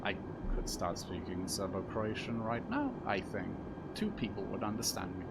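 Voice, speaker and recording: A man's voice: on the low side, big pitch swings, normal speed, gravelly. A man from Serbia whose first language is Serbian, streaming a flight simulator in casual, rambling commentary full of fillers and self-deprecating asides. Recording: loud constant background hum.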